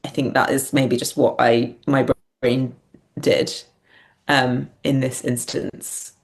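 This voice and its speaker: A woman's voice: rumbling low, little pitch movement, slow pace, gravelly. Reflective woman, casual interview talk. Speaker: podcast guest, writer and performer.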